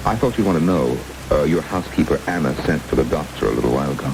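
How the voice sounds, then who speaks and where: A very low, suave villain in an old radio drama. He menaces his captives with deliberate, gloating politeness. The old broadcast sits under loud, rain-like hiss. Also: lively intonation, creaky, exaggerated voice. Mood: smooth, threatening. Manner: mock-courteous, deliberate, gloating. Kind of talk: vintage radio drama acting.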